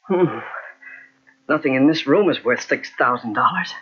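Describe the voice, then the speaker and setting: Jittery weak-willed gent, swoopy husky voice, flustered, old radio drama, muffled tape. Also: male voice, mid-range voice, animated, normal speed. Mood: desperate. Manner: flustered, exclamatory, talking to himself. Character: anxious, fretful gentleman speculator, weak-willed nephew. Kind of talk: old-time radio mystery acting. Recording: dull lo-fi old radio cassette recording.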